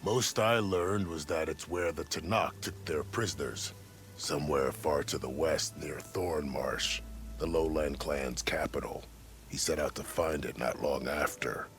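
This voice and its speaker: A very deep-voiced caravan scout. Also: male voice, a little slow, faint music behind. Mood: matter-of-fact. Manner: folksy, matter-of-fact. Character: plain-spoken, seasoned caravan scout, male. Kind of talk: video game character acting.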